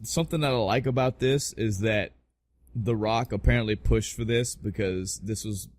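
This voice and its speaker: A man's voice: on the low side, normal speed. A man hosting a casual podcast about pop culture, talking in an emphatic, rambling way with plenty of swearing. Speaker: podcast host. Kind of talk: casual podcast chat about video game movies.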